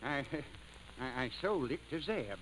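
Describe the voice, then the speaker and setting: A man's voice: mid-range voice, expressive, slow, crowd noise, rough recording. A male actor playing a nervous, evasive, cowardly backwoods landowner in an old film drama. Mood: sheepish, evasive. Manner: stammering, hesitant.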